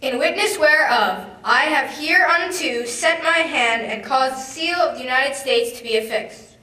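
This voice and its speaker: A young woman declaims a document in a very high, bright voice. The sound is echoey, as from across a hall. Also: unhurried. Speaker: student reader. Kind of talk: formal declamatory reading of a document. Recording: echoey hall, distant mic.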